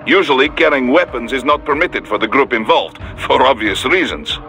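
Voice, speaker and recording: Bright-voiced, pragmatic Russian security chief. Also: male voice, medium pitch, expressive, soft background music. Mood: matter-of-fact, measured. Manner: measured, dry, matter-of-fact briefing. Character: seasoned, pragmatic Russian male private security operations chief. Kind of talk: video game radio dialogue acting.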